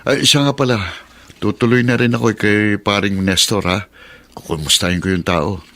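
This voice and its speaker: A man's voice: on the low side, steady tone, moderate pace, a bit bright. An actor playing an easygoing, casually teasing husband and father in a radio drama. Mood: casual, relaxed. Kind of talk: Tagalog radio drama acting.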